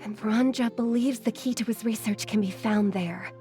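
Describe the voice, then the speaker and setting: A woman's voice: on the high side, moderate pace, dark tone, soft background music, lightly acted. A woman playing a patient, apologetic young female scholar in a video game, courteous and formal. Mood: explaining. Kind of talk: video game character acting.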